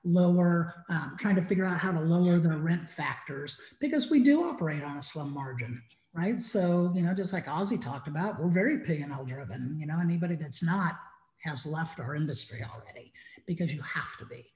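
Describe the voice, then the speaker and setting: A man's voice: on the high side, fairly quick, a little mellow. A man in his fifties speaking emphatically as an industry panelist on a video call. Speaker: restaurant industry consultant, panelist. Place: living room at home, indoors. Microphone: computer mic on video call. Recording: dull, echoey video-call audio.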